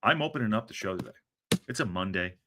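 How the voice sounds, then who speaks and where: A man hosting a football podcast, talking in an animated, rambling way with a lot of restarts. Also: lowish voice, quick pace, background noise. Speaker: podcast host. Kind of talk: casual chat.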